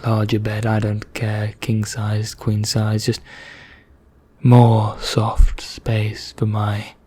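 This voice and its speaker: Slow, breathy ancient vampire knight played by a man. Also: lowish voice, monotone. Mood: languid, condescending. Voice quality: soft, breathy, half-whispered, husky. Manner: measured, formal, condescending, deliberate pauses. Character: ancient male vampire knight, haughty, languid, darkly courteous. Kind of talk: ASMR roleplay monologue.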